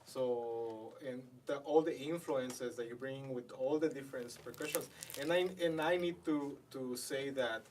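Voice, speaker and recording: A man's voice: medium pitch, unhurried, few pauses, darkish tone, far from the mic, slight background noise, poor audio. A man hosting a casual interview, searching for his words and slipping into Spanish phrases. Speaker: host.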